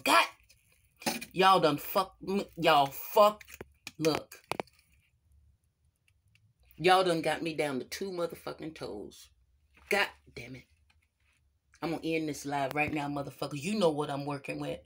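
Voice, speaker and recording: A man putting on a crude, swaggering character with a rotting foot, cursing and yelling his catchphrases in a livestream comedy skit. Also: a little high, a little hiss or hum. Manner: profane, boastful, yelling catchphrases.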